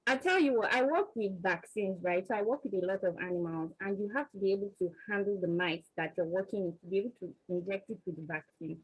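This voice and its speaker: Husky Nigerian woman, earnest and emphatic. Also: mid-range voice, quick pace, few pauses, rough recording. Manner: earnest and emphatic, repeats words as she builds a point. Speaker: panelist, vaccine research scientist. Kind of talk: motivational panel answer.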